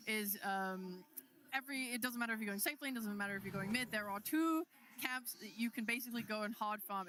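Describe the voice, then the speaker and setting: A woman's voice: fairly high pitch, fairly bright tone. A woman giving casual panel analysis as an esports commentator at a live event. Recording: faint venue noise behind.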